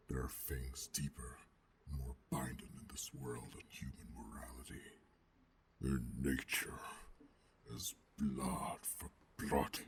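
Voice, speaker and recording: A man voicing a menacing, coldly controlled alpha werewolf in an ASMR roleplay, gruff and growling, speaking formally and deliberately in a half-whisper. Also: a bass voice, slight background noise, heavily acted. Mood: grave, solemn. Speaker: voice actor.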